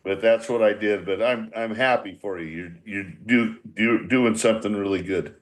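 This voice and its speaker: Blunt, low-voiced older American man. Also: moderate pace. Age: 60s. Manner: blunt, salty, rambling. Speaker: veteran baseball manager hosting a reunion. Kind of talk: casual profane group chat.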